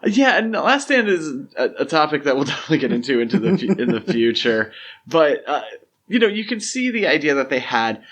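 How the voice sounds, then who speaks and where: A man's voice: fairly high pitch, big pitch swings, fairly quick, a bit bright. A man hosting a podcast, talking through film history in a casual, conversational style. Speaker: podcast host.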